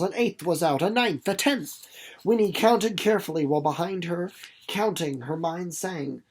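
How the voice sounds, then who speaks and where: A storyteller with a medium-pitched voice reads literary fiction aloud from a script. The delivery is dramatic, shaping each phrase for suspense by easing off in speed and volume. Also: darkish tone, husky. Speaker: audiobook narrator reading a novel chapter.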